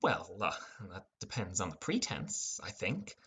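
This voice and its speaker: A man's voice: deep voice, wildly swooping pitch, a little slow, sharp tone, breathy edge, exaggerated voice. A man acts out a teenage boy caught in a fantasy game world. He is awkward and wary, speaking in a hesitant, questioning way as the hero of an audiobook. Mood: embarrassed, hesitant.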